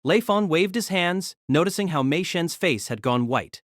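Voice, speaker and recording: A narrator reading a novel aloud in an expressive storytelling manner. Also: medium pitch, normal speed, no echo, clean studio sound.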